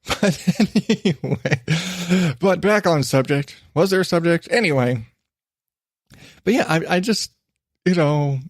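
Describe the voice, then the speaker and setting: Chatty man with a higher-pitched podcast voice. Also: dry recording, studio quality. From western United States. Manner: chatty, self-deprecating, digressive. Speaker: podcast host. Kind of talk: rambling solo podcast monologue. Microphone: studio microphone.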